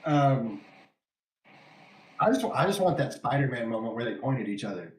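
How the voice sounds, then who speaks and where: A man's voice: medium pitch, very animated, moderate pace, darkish tone, roomy sound, rough recording. A man from West Texas with a West Texas accent, hosting a casual wrestling podcast, laughing often and reading viewer comments aloud. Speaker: podcast host.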